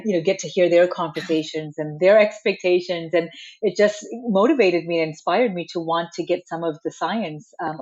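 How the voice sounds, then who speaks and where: Lower-voiced woman explaining research. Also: a little mellow, lo-fi sound. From Malaysia. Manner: explanatory, measured, fluent. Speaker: psychologist guest. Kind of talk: podcast interview answers.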